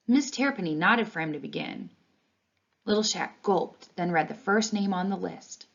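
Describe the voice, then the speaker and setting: A woman reading a children's storybook aloud in an expressive, animated way. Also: fairly low pitch. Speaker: read-along reader. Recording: dull, lo-fi sound.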